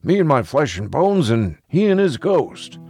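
A man's voice: fairly high pitch, big pitch swings, measured pace, a little mellow, soft background music, voice pushed up. A man voicing a cheerful, lonely old country neighbour in a storybook, rambling along in folksy, old-fashioned speech. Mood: cheerful, wistful.

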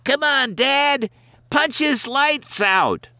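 A man's voice: very high-pitched, lively intonation, drawn-out words, bright, forceful tone, no echo, voice pushed up, exaggerated voice. A man voicing a bratty young boy who bullies and eggs on his father. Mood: egging on.

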